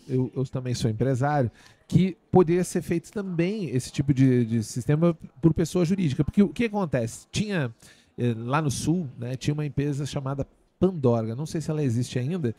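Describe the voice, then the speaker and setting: Chatty Brazilian man, mellow voice. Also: a little high, expressive, fairly quick. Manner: chatty, jokey, asks long winding questions. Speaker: podcast host. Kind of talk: casual podcast interview. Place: studio.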